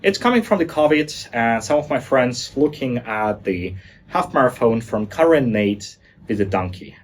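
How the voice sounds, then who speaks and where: A man's voice: medium pitch, a little hiss or hum. A man from Germany giving a lighthearted presentation talk, joking along and stumbling over fillers now and then. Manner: jokey, lighthearted, with hesitant fillers.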